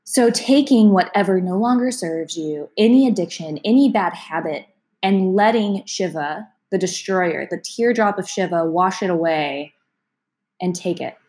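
Woman w a cold, kinda congested, chatty astrology vlog, soft and easygoing. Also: lowish voice, unhurried. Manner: chatty, gentle, laughs between sentences. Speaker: astrology vlogger. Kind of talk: casual talk to camera.

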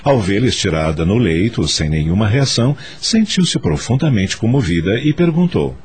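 A man's voice: on the low side, big pitch swings, normal speed, darkish tone, breathy edge. A man with a Brazilian accent narrating a scripted radio drama in a dramatic storytelling style.

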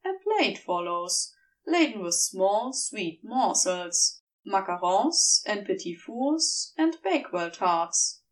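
A woman reading scripted fiction as a narrator, in a gentle, measured storytelling manner. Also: medium pitch, very animated, far from the mic. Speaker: podfic narrator.

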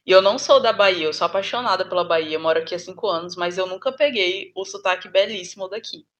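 A woman's voice: fairly low pitch, fast talker, fairly bright tone. Woman with a São Paulo rolled R. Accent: São Paulo Portuguese. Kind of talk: casual conversation.

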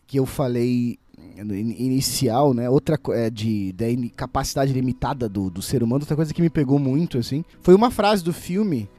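A man's voice: mid-range voice, moderate pace, dark tone. A man hosting a casual podcast chat, restarting his sentences often as he steers the conversation. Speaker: podcast host.

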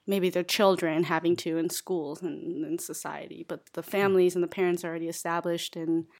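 Soft-spoken woman, thinking aloud. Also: on the low side, fairly even intonation, moderate pace. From United States. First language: Chinese. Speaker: interviewee. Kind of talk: hesitant conversational answers.

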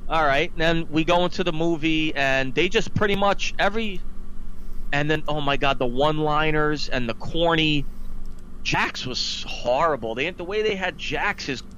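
Male podcast co-host, fairly high voice, emphatic and exasperated casual chat, noisy recording. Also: moderate pace, pushed and bright, lo-fi sound. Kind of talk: casual opinionated podcast chat about films.

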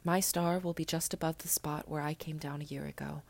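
A woman reading an audiobook, voicing a gentle, grave little boy prince who speaks softly and often in a whisper. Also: sits low, steady tone, fairly quick, gravelly. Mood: wistful. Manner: soft, wistful, often whispered.

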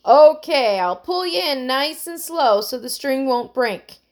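A woman's voice: sits high, animated, a little slow, voice pushed up. A woman voicing an earnest, stubborn boy in a children's story, confident and insistent. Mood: instructing. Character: earnest, stubborn boy inventor, sure of his plans.

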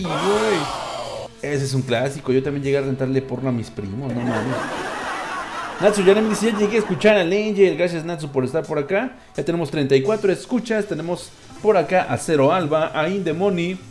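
Man, Mexican accent, slightly high voice, casual show host, slangy and joking, music in the background. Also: darkish tone. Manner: crude, slangy, jokey, laughs often. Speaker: host of a live listener-call-in style online show. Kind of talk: casual chat, reading listeners' messages and stories aloud.

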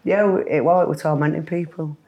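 A woman's voice: low voice, fairly even intonation, fairly quick, darkish tone, muffled recording. Woman, yorkshire accent, middle-aged, podcast guest, chatty storyteller, laughs easily. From Leeds, England. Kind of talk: casual podcast interview.

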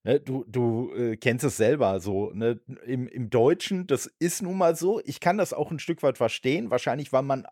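A man hosting a podcast speaks in a middle-pitched, ordinary voice. He stumbles, restarts his sentences and softly affirms his guest. The recording is dry and close. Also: moderate pace, few pauses, no echo. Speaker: podcast host. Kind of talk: casual podcast interview.

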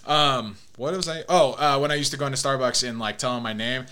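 A man's voice: medium pitch, a little fast, sharp tone, clean tone. A man hosting a casual podcast, joking and laughing as he chats and plays soundboard clips. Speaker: podcast host. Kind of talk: casual chat.

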